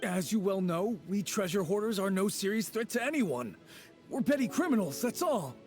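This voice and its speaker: An actor playing a shifty petty bandit, sly and wheedling, chuckling between lines, over game music. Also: male voice, high-pitched, sing-song, moderate pace, darkish tone. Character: shifty male treasure hoarder thug, sly and cowardly. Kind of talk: video game voice acting. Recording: game soundtrack under the voice.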